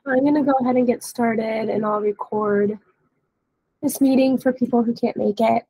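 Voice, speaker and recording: A woman in her thirties, hoarse and scratchy from a cold, giving a casual software training webinar over a headset. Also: a little high, steady tone, moderate pace, no echo. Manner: casual, explanatory. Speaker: webinar trainer, dealer support staff. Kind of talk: informal software training walkthrough. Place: indoor room, on a video call.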